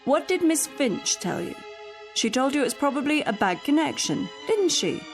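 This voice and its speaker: High-pitched, practical woman caregiver. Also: big pitch swings, moderate pace, soft background music. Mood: firm, soothing. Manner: reasoning, coaxing, firm. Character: practical, patient live-in caregiver soothing her employer. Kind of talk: suspense radio drama acting.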